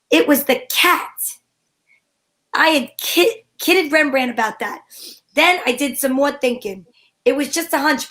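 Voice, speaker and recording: Female gumshoe actress, high voice, cheeky and wisecracking, slangy noir delivery for vintage-style radio detective drama. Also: fairly bright tone. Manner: wry, slangy hardboiled delivery. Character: wisecracking female private eye, cheeky and flirtatious, narrating her case.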